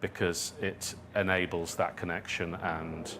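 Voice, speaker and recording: A man answering audience questions as a writer at a talk, thinking aloud in a halting, self-deprecating way. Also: deep voice, little pitch movement, background noise. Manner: reflective, halting, hedging with self-deprecating asides. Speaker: guest speaker, writer and dramatist.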